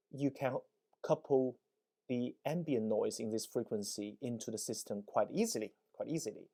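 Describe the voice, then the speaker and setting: A man's voice: mid-range voice, creaky, warm tone. A man explains a technical demonstration informally, repeating words for emphasis and often checking in with a short questioning tag. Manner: checks understanding with frequent "right?" and "okay?", repeats words for emphasis. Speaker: presenter, EMC engineer.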